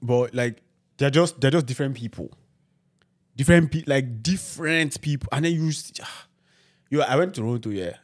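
Nigerian man telling animated stories. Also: medium pitch, normal speed. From Nigeria (Ibadan, Lagos). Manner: animated storyteller, acts out reactions. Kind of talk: casual chat.

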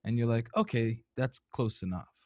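A young man interviewing someone in a reflective, conversational way, explaining his points in a measured manner and checking for agreement. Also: mid-range voice, dark tone, no echo, muffled recording. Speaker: interviewer, host.

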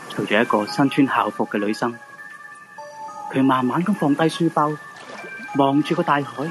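A man narrates a ghost-story radio drama in a Hong Kong Cantonese accent. His mid-pitched delivery moves widely up and down, with music underneath. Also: low-quality recording. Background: background music.

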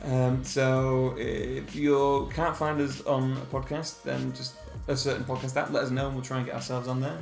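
Guy chatting on a movie podcast, bit of fry, joking around. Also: medium pitch, level tone, normal speed, hardly any pauses. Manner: laughing, joking banter. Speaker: podcast co-host. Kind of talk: casual chat. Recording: echoey room with music underneath.

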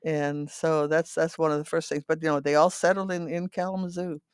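A woman in her eighties from the Netherlands, reminiscing in a halting, rambling way as an interview guest, often repeating words and checking for agreement. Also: deep voice, level tone, smooth, dry recording, studio quality. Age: 80s. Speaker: interview guest telling her life story. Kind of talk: reminiscing, conversational storytelling.

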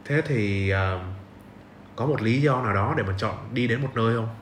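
A man's voice: medium pitch, normal speed. A young man with a southern Vietnamese accent, hosting a podcast as a casual interview chat. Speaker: podcast host. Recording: roomy echo, noticeable background hiss.

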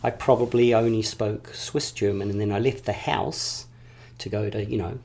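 A man's voice: on the low side, fairly even intonation, normal speed, darkish tone. Earnest man talking in circles. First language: English. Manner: earnest, repeats phrases while building a point. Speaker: guest. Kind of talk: reflective conversational interview.

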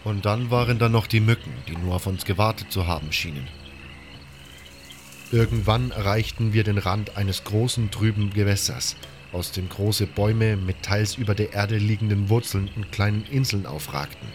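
A man's voice: on the low side, moderate pace, noisy background. A man reading a scripted first-person fantasy story as its narrator. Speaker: storyteller reading a game-based audio story.

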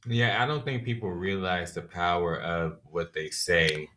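A man co-hosting a podcast, speaking in a measured, reflective way and offering advice. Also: low-pitched, steady tone, bright, forceful tone. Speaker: podcast co-host. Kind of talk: casual reflective chat.

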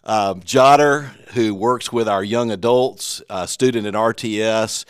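A man's voice: on the low side, measured pace, clear, resonant tone, no echo, clean studio sound. A man with a Southern US accent hosting a church podcast, speaking earnestly with frequent fillers. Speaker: podcast host.

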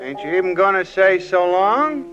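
A man's voice: high voice, very animated, moderate pace. An actor playing a folksy, nostalgic barman pal in an old-time radio drama, with ungrammatical, musing talk. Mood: wistful. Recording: muffled old radio recording with music.

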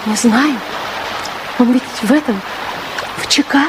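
A girlish, high-pitched young sweetheart, played by a woman, confides anxiously and openly. The acting is that of 1960s radio theatre, with clear noise over the recording. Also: unhurried, lightly acted. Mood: anxious, confiding. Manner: girlish, confiding, emotionally open. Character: anxious, candid nineteen-year-old sweetheart from a professional family. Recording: noisy 1960s radio recording, rain-like hiss.

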